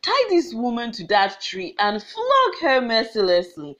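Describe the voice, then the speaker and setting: A woman's voice: on the high side, huge pitch swings, a little slow, fairly bright tone, exaggerated voice. A woman voicing a harsh male village ruler who bellows orders. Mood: furious. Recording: slightly muffled lo-fi recording.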